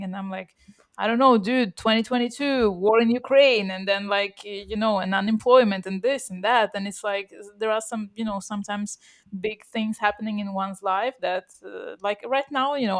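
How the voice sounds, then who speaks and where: A woman's voice: mid-range voice, vocal fry. A woman co-hosting a podcast, born bilingual with Russian as one first language, talking casually with lots of fillers, restarts and laughter. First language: Russian, bilingual from birth. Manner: chatty, many fillers and restarts, laughs often. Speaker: podcast co-host.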